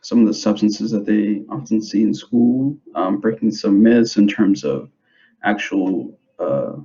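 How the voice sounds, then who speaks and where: A young man who grew up in the United States, giving an informal presentation to parents in a hesitant, halting way, on a dull, muffled recording. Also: fairly low pitch, dark tone. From grew up in the United States, born in El Salvador. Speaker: school substance abuse prevention specialist.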